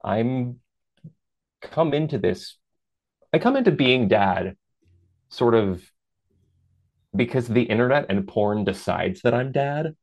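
A man in his thirties with a deep voice, a podcast guest talking things through in a reflective, measured way with lively asides. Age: 30s. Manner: reflective and measured, lifts into animated quoted asides. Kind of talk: casual interview chat.

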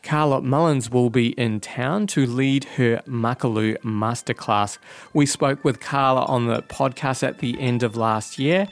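A man's voice: mid-range voice, a little slow. A man with a New Zealand accent hosting a podcast, friendly and upbeat. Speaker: podcast host. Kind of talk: podcast intro and interview.

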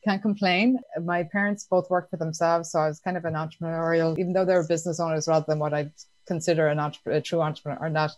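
A woman with an Irish accent, chatting as a guest in an interview, laughing easily and filling pauses with "um" and "like". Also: low-pitched, steady tone, a little mellow, no echo. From Ireland. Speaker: guest entrepreneur. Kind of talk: casual interview answers.

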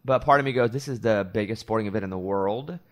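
A man's voice: lowish voice, fast. Chatty rambling man hosting a show. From Arkansas. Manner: rambling, chatty storytelling with self-deprecating asides. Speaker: radio morning show host. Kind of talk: casual podcast chat.

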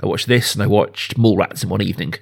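A man's voice: on the low side, fast talker, gravelly. A man, talking casually and with rambling enthusiasm as a film podcast host. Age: 30s.

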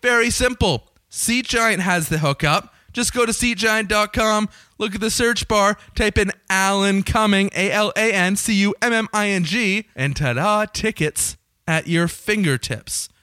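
A young man with a slightly raspy voice, hosting his podcast in a chatty, joking way and swearing casually. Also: sits high, unhurried, sharp tone, dry recording, clean studio sound. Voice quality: a little raspy. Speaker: podcast host. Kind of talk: casual solo podcast intro.

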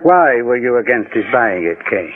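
An actor playing a composed, authoritative American private investigator in a 1940s radio drama, speaking formally and deliberately. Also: male voice, medium pitch, sing-song, phone-quality sound, slight background noise, close to an everyday voice. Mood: calm, probing. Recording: thin, band-limited 1940s radio recording.

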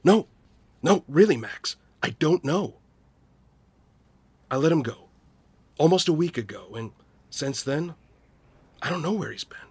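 An actor playing a weary, guilt-ridden small-town loner in a naturalistic audio drama, husky and hesitant, confessing haltingly. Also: male voice, fairly high pitch, lively intonation, a little mellow, no echo. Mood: agitated, defensive, then subdued, regretful.

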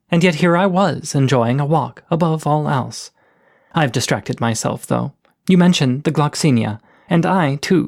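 A man's voice: mid-range voice, darkish tone, lightly acted. A man reading the florid, courtly letters of a bookish male coyote. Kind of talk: reading letters aloud from a story.

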